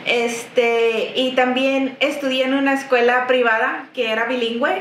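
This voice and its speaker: High, bright-voiced woman, chatty guest in a roomy office. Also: flat delivery, measured pace. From Texas–Mexico border. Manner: chatty, warm, laughs often. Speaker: business owner guest. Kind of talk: interview answers. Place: office, seated at desk. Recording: roomy office with faint noise.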